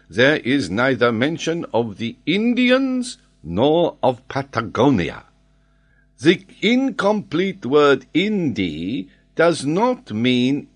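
A man voicing an excitable, triumphant French geographer who argues emphatically and draws out his key words. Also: a little high, pitched above the performer's own voice, full character voice. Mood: triumphant, teasing.

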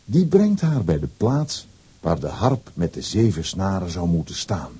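Low, husky male storyteller. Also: very animated, unhurried, warm tone. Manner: storytelling, wry asides. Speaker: narrator. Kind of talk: scripted children's story narration.

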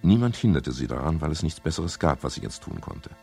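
A man's voice: a bass voice, very animated, brisk, gravelly, darkish tone, soft background music, full character voice. An actor playing a wry, weary private detective in a radio crime play, dry and laconic as he narrates and talks. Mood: wry narration. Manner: dry, laconic, hesitant politeness. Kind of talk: 1970s German radio crime play, first-person narration and dialogue.

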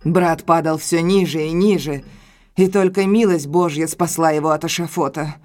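A woman's voice: low-pitched, steady tone, normal speed, a little mellow, lightly acted. An actress playing a middle-aged, earnest and distraught housekeeper pleading for her family in an audio drama. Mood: sorrowful. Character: stout frightened housekeeper, loyal, pleading, sorrowful older sister. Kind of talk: Russian audio drama acting.

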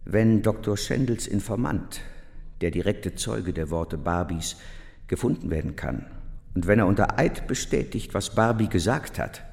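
An actor playing a cautious, formal public prosecutor with a clipped official manner in a radio play. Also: male voice, lowish voice, lively intonation, normal speed, creaky, darkish tone. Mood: weighing, formal. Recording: long reverberant hall.

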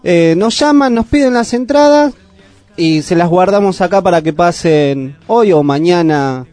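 A high-voiced Argentine man hosting a local radio show. His tone is mellow and dry, with little room sound and soft music under him. He talks in a chatty, teasing way. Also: animated, unhurried, no room sound. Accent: Argentine Spanish. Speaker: radio host. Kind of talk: casual radio chat. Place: radio studio.

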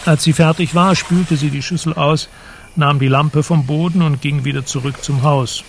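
A man giving measured, precise literary narration for a radio drama, with a creaky voice. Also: a little high, steady tone, a little hiss or hum.

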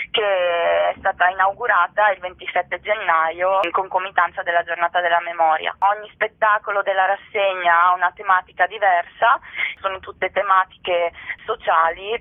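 A woman's voice: medium pitch, no echo, phone-quality sound. A woman explaining things as the guest in an informal radio interview. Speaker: interviewee, theatre festival organiser.

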